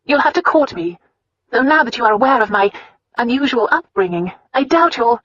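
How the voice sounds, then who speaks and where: A woman's voice: fairly high pitch, lively intonation, normal speed, fairly bright tone, muffled recording, a little hiss or hum, voice pushed up. A narrator voicing a proud, fiery young noblewoman who talks in an indignant, demanding way.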